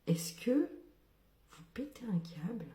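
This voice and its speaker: Young French woman, very dark mellow tone, wry humor, frequent laughs and whispers. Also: on the high side, very animated, slow, reverberant. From metropolitan France. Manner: ironic, laughs often, drops into whispered asides. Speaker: social media creator. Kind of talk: casual monologue to camera.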